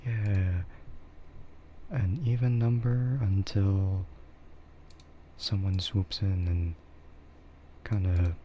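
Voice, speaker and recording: A soft-spoken man chatting on an ASMR livestream, often slipping into whispers, with keyboard typing and clicking behind him. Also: sits low, steady tone, very slow, pauses often, dull audio, slight background noise. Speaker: streamer.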